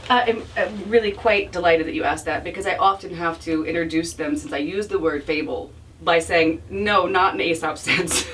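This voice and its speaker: Halting, reflective woman, recorded from a distance. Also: fairly low pitch, a bit bright. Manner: halting, self-deprecating, reflective, restarts phrases. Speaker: author being interviewed about her book. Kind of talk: informal spoken book talk with audience. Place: bookstore event. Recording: distant mic, faint room noise.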